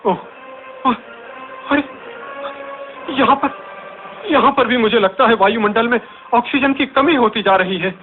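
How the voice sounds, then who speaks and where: An actor playing an ordinary, fearful man in a radio drama, halting and repeating words with gasped exclamations. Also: extremely high pitch, faint music behind. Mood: choking panic. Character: panicked ordinary man gasping for air, waking from nightmare. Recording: tinny, band-limited radio drama recording with noise.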